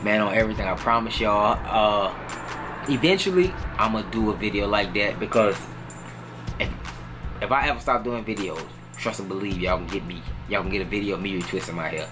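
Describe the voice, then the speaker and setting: A relaxed young man, speaking in a fairly low voice. He talks loosely and casually, circling back with "you know what I'm saying," while clear music plays under him. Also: a little fast. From New Orleans, Louisiana. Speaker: vlogger answering viewer questions. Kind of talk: casual Q&A chat.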